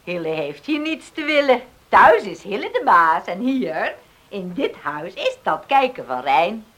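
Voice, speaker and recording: A woman's voice: medium pitch, big pitch swings, measured pace, a bit bright. An actress playing a strong-willed, outspoken farm widow and mother in a radio drama, teasing and defiant. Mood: mocking.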